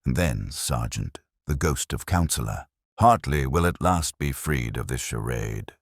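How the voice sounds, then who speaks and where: A man playing a calm, shrewd police inspector in a mystery story, speaking in a measured, formal, cryptic way. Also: rumbling low, no echo, studio quality, exaggerated voice. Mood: grave, knowing.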